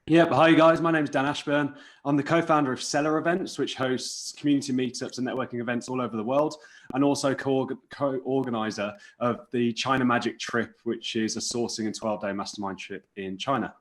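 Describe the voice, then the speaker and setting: A man's voice: mid-range voice, fairly even intonation, brisk. Guy on a call, ordinary mid voice, plain intro. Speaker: event co-founder. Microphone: video call.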